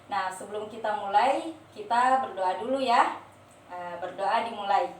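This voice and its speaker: A woman's voice: mid-range voice, a little slow. Young woman leading a group, echoey room. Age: young adult. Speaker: group therapy leader in a nursing student role-play. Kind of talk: guiding a role-play session. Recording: roomy, distant, faint noise.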